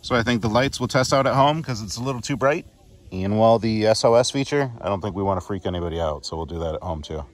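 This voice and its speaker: A man's voice: on the low side, quick pace. A man giving a casual, playful hands-on product demo in a chatty, informal way. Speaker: product reviewer.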